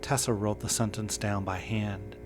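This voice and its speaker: A man reading a scripted first-person horror story in a measured, understated way. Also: on the low side, level tone, background noise. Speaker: narrator.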